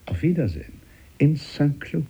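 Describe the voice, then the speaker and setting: A man playing a suave, calculating French statesman in a German radio drama, urbane, ironic and husky. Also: fairly high pitch, big pitch swings, normal speed, loud music behind the voice. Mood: suavely ironic. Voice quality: husky, dark.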